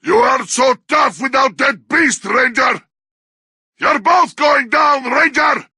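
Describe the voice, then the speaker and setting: A man playing a brutish, bloodthirsty orc warrior in a video game, snarling crude taunts in a harsh, raspy growl. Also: very high voice, takes it slowly, sharp tone, no room sound, shouting, studio quality, heavily acted. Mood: taunting, aggressive. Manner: snarling battle barks in crude, broken grammar. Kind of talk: video game combat barks.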